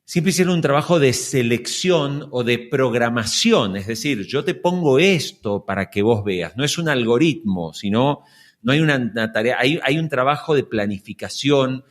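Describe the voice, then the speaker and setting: A man, interviewing as a podcast host in a measured, conversational way. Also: medium pitch, expressive.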